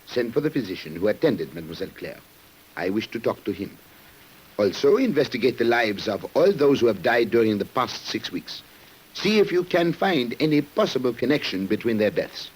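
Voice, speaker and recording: An actor playing a grave, determined police commissioner in a formal, dramatic radio play style. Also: male voice, medium pitch, big pitch swings, breathy edge. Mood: stern, brisk. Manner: formal, deliberate, dramatic delivery. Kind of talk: 1950s radio drama acting. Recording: muffled-edged vintage radio drama recording.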